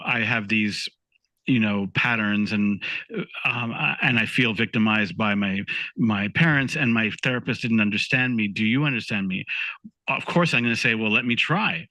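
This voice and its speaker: Male podcast co-host, thoughtful chatty delivery with frequent restarts, bright forceful tone, dry sound. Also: mid-range voice, no echo, poor audio. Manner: thoughtful, laughs easily, restarts sentences. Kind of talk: casual podcast conversation.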